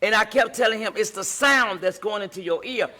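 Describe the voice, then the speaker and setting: A woman's voice: mid-range voice, a little fast, bright, forceful tone. A middle-aged woman hosting a relationship advice talk, speaking emphatically in a repetitive, sermon-like cadence. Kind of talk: preaching-style advice talk.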